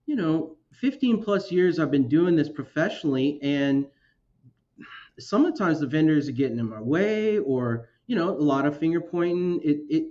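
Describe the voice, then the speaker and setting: A man's voice: a little high, darkish tone. Earnest man with a muffled voice. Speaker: guest, technology officer. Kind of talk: casual chat.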